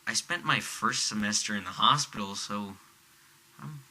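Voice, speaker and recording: A man's voice: on the low side, normal speed, pushed and bright. A man playing an awkward young college dropout in an audio drama, hesitant and stammering, with a casually bitter edge. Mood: hesitant, downplaying. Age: young adult. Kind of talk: naturalistic audio drama dialogue.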